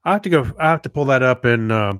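A man's voice: mid-range voice, animated, fast, a bit bright, smooth, no room sound. Man co-hosting, casual and dry-sounding. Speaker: podcast co-host. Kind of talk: casual chat.

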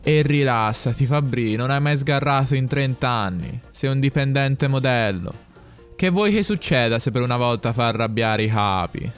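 Teasing, celebratory conductor prankster, acted by a male narrator, raised pitch with music underneath. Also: steady tone, a little fast, hardly any pauses, a little mellow, lightly acted. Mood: reassuring. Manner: playful, celebratory. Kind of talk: acted dialogue in an Italian audio story.

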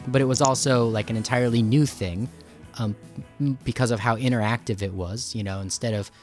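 A man hosting a podcast interview in a conversational style, halting and rambling as he thinks aloud. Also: mid-range voice, a little mellow. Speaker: podcast host and radio producer.

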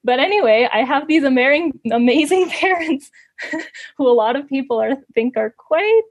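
Woman chatting about her own memories, kinda high voice, giggles a lot, really dry close sound. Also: expressive, moderate pace, dry recording, dull audio. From born in Canada, grew up in Ecuador. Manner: giggly, laughs often while talking. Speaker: interview guest. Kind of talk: casual personal storytelling.

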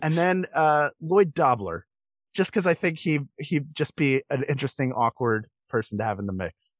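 A man's voice: a little high, lively intonation, moderate pace, dry recording, clean studio sound. A man talking casually as a guest on a podcast.

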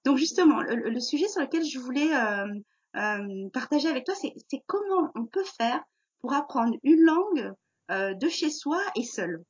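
Husky French podcast hostess, hesitant. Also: female voice, high voice, lively intonation, normal speed, muffled recording. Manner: hesitant, many fillers. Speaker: podcast host. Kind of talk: casual interview talk.

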